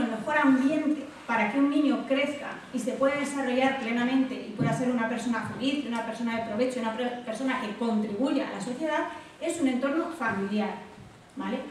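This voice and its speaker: A woman with an accent from Spain giving an informal, conversational public talk as a guest speaker. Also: high-pitched. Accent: Spain Spanish. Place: echoey indoor hall at a public talk. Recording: very echoey hall, distant mic, background noise.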